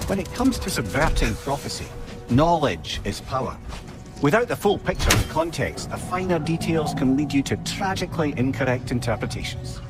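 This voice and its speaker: An actor with a Scottish accent playing a wry, learned old advisor in a video game, eloquent and theatrical. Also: male voice, fairly high pitch, very animated, normal speed, loud music behind the voice. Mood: explanatory. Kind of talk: dramatic video game acting.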